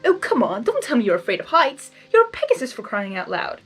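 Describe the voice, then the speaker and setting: A woman's voice: fairly high pitch, huge pitch swings, brisk, fairly bright tone, breathy edge, faint music behind, heavily acted. Theatrical, teasing male trickster creature, voiced by a woman. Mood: exuberant, exasperated. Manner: theatrical, coaxing. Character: teasing, gallant male draconequus trickster, doting on his date.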